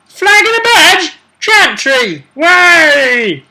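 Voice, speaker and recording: Man putting on a shrill, overeager market stallholder. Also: sing-song, extremely slow, bright, forceful tone, slight background noise, heavily acted, poor audio. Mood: overeager cheer. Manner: exclamatory sales patter. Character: cheery overeager stallholder hawking a faith's flags and badges. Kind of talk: tabletop game storytelling impression.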